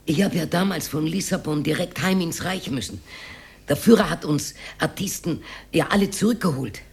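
A woman's voice: low-pitched, normal speed, creaky, darkish tone, breathy edge, full character voice. An actress playing a talkative older Austrian working-class widow who confides her memories in a radio drama, rambling and dropping into whispers. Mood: wistful, confiding. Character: talkative old working-class widow reminiscing about her hard wartime life. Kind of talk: radio drama monologue, naturalistic acting.

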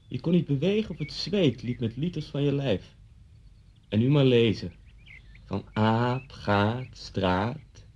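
A man's voice: mid-range voice, a little mellow, husky, heavily acted. An actor playing an intense, brooding man who confronts his old schoolmaster with vivid, pointed storytelling in a Dutch radio play. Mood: probing, dramatic. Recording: dull lo-fi radio play recording.

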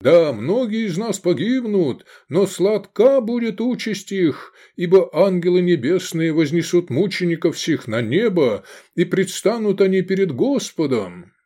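Man playing a high, declamatory zealot preacher. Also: lively intonation, measured pace, few pauses, mellow. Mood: exalted, rousing.

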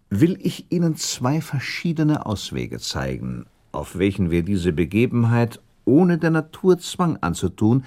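Radio play prince: husky, lowish voice, coolly reasoning, formal and self-assured. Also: male voice, mellow, heavily acted. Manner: measured, rhetorical, deliberate delivery. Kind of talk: German radio drama acting, formal literary dialogue.

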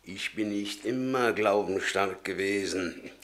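Slow, fervent, bright-voiced zealot. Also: male voice, lowish voice. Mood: solemn, restrained. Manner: fervent, solemn, preacherly, deliberate. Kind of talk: classic German radio drama acting.

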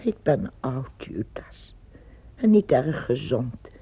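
An actress playing an old, tired empress in a radio play, speaking in a breathy, hushed voice that often sinks to a whisper. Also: female voice, rumbling low, big pitch swings, takes it slowly, dry recording, full character voice. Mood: weary. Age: older. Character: old weary empress, fearful and plain-spoken. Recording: tinny lo-fi old radio recording.

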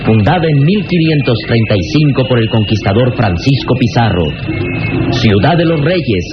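Man, mid-pitched, grand florid narration, 1960s radio drama, lo-fi recording. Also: animated, normal speed. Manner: solemn, florid, declamatory. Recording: dull 1960s radio recording, loud music behind.

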